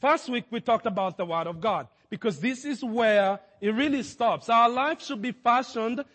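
High-voiced pastor preaching in a reverberant hall. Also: male voice, a bit bright. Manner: halting, repeats words, rises to emphatic peaks. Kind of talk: sermon.

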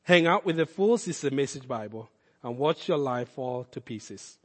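A man with a Kenyan accent, a pastor giving a sermon, swinging from loud, emphatic calls to low, earnest asides. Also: animated, moderate pace, big-room sound. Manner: impassioned preaching, rising loud then dropping low and intimate.

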